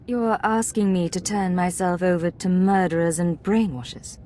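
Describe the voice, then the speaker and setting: A woman's voice: on the low side, steady tone, moderate pace, warm tone, a little hiss or hum, close to an everyday voice. A woman playing a cool, guarded secret agent in a video game, speaking in a controlled, dry way. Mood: wary, controlled. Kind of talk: video game dramatic acting.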